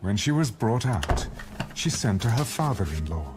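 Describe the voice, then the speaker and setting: A man's voice: on the low side, big pitch swings, moderate pace. A man narrating a dramatized audio Bible in a storytelling style, with music underneath.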